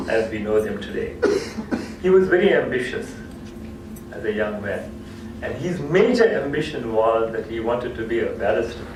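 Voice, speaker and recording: A man whose first language is Gujarati tells an anecdote at a cultural talk, repeating and restarting phrases as he goes. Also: medium pitch. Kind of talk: storytelling lecture. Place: hall. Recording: echoey hall, distant mic, noisy.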